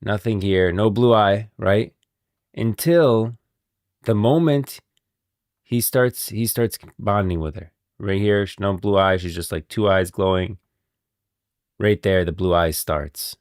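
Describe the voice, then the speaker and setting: A man's voice: fairly low pitch, slow, darkish tone, no room sound, studio quality. A man doing casual live-stream commentary, talking in an emphatic, repetitive, slangy way.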